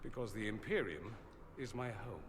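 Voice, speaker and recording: An actor voicing a witty, self-assured fantasy mage in a video game, with a theatrical, sardonic manner. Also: male voice, fairly low pitch, animated, normal speed, big-room sound. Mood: earnest, bitter. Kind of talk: video game character acting.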